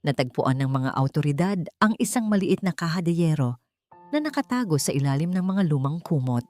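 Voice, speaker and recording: A woman's voice: low-pitched, very animated, brisk, dark tone, soft background music. A woman narrator reading a scripted, emotional drama story in a storytelling style.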